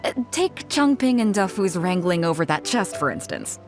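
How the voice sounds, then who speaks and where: A woman's voice: on the low side, very animated, normal speed, over music. A woman playing a bold, easygoing female ship captain in a video game, speaking frankly with casual swagger. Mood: reflective, earnest.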